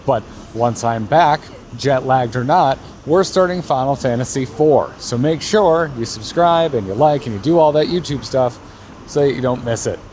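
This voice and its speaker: A man's voice: medium pitch, moderate pace, a little mellow. A man hosting a video game show, giving viewers a casual update as he records outdoors. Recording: outdoor location with street noise.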